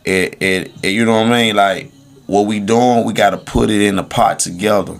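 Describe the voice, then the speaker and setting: A man's voice: fairly low pitch, fairly even intonation, moderate pace, gravelly, faint music behind. A man in casual podcast chat, talking in an emphatic, slangy way and repeating phrases for stress. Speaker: podcast host.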